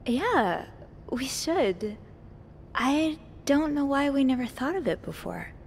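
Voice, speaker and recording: An actress voicing a curious, earnest, grateful young hotel maid in a naturalistic video game performance. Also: female voice, sits high, very animated, slow pace, creaky, a little hiss or hum, heavily acted. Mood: curious, friendly.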